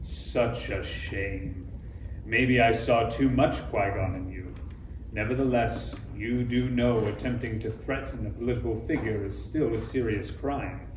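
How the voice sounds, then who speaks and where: A man's voice: fairly low pitch, measured pace, natural voice. A man playing a suave, condescending villainous count with pompous phrasing in a parody table read. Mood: coolly mocking. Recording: echoey room, distant mic, faint noise.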